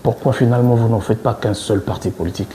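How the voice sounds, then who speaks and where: A man's voice: fairly low pitch, steady tone, fast talker, dark tone, slight background noise. A man interviewing a politician on TV, probing and insistent in his questioning.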